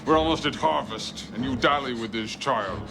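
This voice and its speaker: A man's voice: mid-range voice, normal speed, creaky, close to an everyday voice. An actor playing a huge, haughty vampire henchman in a television drama, commanding and contemptuous in a grandiose way. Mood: scornful, commanding. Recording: very noisy television episode soundtrack.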